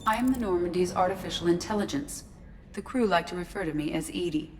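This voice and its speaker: A woman voicing a calm, formal shipboard artificial intelligence in a video game, with measured, precise and detached delivery. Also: fairly low pitch, faint music behind, natural voice. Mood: calm, neutral. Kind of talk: scripted video game dialogue.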